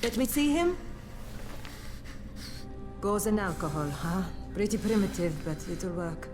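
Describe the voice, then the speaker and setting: Brisk practical female medic. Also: mid-range voice, big pitch swings, music in the background. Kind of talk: video game voice acting.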